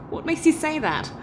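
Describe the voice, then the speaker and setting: A woman playing a calm, persistent helper who talks gently and persuasively. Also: high voice, background noise, full character voice.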